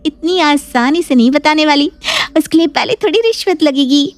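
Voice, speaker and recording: A woman voicing an excitable, teasing young woman with a playful, gushing manner in a cartoon story. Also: sits high, moderate pace, no room sound, voice pushed up. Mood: teasing, excited.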